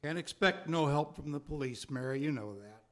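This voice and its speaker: A man's voice: fairly high pitch, animated, normal speed, a bit bright. A man playing a plain-spoken, doubtful street beggar in a 1930s radio drama, with folksy, slangy speech.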